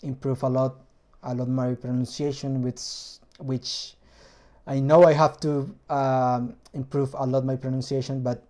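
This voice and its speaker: A man with a Spanish accent, Spanish as his first language, casually explaining a hobby engine build in a vlog and often pausing to find words in his second language. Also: mid-range voice, flat delivery, a little mellow.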